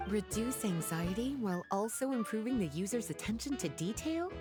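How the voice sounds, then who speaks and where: A woman's voice: medium pitch, animated, moderate pace, close to an everyday voice. An actress playing a poised, playful, doting lady in a video game, with an elegant, teasing, cooing manner. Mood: curious, teasing. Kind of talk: video game cutscene dialogue. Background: game music.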